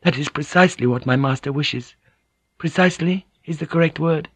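A man voicing a poised, formal noblewoman interpreter who speaks in a precise, polite, measured way. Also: a little high, a bit bright, breathy edge, no room sound, voice pushed up.

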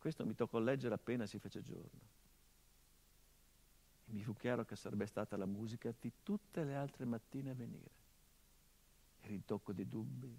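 A man's voice: medium pitch, natural voice. A man playing a bitter middle-aged son. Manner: swings from hushed murmurs to impassioned outbursts; sardonic, biting. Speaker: stage actor. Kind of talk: theatrical monologue.

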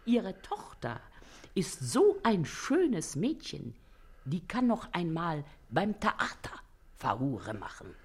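A woman's voice: lowish voice, wildly swooping pitch, slow pace, a little mellow, husky, exaggerated voice. Bossy scolding working-class mother, wildly swooping voice. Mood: boastful. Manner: nagging, blustery, colloquial. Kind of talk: German radio play acting.

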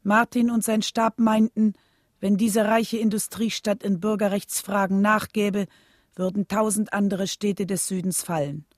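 A woman's voice: mid-range voice, fairly even intonation, measured pace, dry recording, studio quality. A woman narrating a scripted documentary radio drama.

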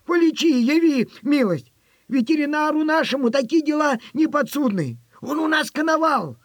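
A man's voice: very high-pitched, animated, normal speed, dry recording, clean studio sound. An actor playing a stubborn, grateful old village grandfather in an audio play, with folksy, emphatic speech. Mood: pleading, stubborn.

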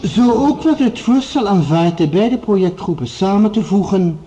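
A commanding leader of a scientific project in a radio play. His voice is warm and dark, with sweeping intonation. He speaks in formal, orotund phrases, over faint background noise. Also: sits high, lively intonation, muffled recording. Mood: formal, authoritative. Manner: formal, orotund, measured authority. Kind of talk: radio drama acting, formal speech-making.

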